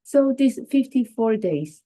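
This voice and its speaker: A woman's voice: a little high, expressive, measured pace, low-quality recording. A woman whose first language is Chinese, hosting a live stream while she has the flu, chatting casually and greeting viewers as they join. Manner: chatty, warm greetings to viewers, restarts phrases. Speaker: livestream host.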